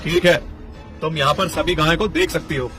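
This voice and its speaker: A man's voice: on the high side, fairly even intonation, normal speed, fairly bright tone, close to an everyday voice. A man playing a greedy, scheming dairy merchant in a children's cartoon story, scornful and blustering. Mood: confident. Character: greedy, haughty, scheming village dairy merchant, short-tempered. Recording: music under the voice.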